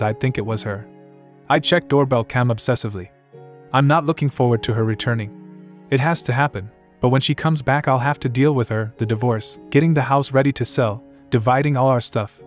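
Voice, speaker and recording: A man in his forties reading a first-person account aloud in a calm, even way. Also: mid-range voice. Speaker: narrator. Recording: soft music underneath.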